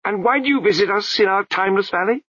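An actor playing an ancient male abbot in the body of a babbling toddler, squeaky and imperious. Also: quick pace, bright, forceful tone, breathy edge, no room sound, highs cut off, heavily acted. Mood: amused imperious.

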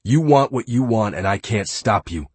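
A man's voice: on the low side, expressive, normal speed, breathy edge, no echo. A man voicing an obsessive, violent young man who growls and spits threats. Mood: menacing.

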